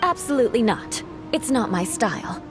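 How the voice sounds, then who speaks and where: A woman's voice: on the high side, very animated, normal speed. A woman playing a proud, cautious young knight in a video game cutscene, composed and formal. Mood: haughty. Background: background music.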